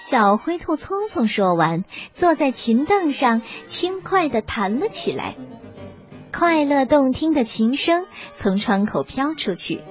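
A woman reads a children's story in a fairly high, very animated voice. Her narration is expressive and scripted, with faint music behind it. The audio is a little dull. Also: measured pace.

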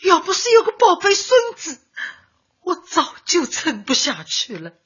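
A woman's voice: extremely high pitch, wildly swooping pitch, measured pace, heavily acted. An actress in a radio drama playing a grief-stricken elderly grandmother, tearful and pleading. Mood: despairing. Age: older. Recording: dull lo-fi, music behind.

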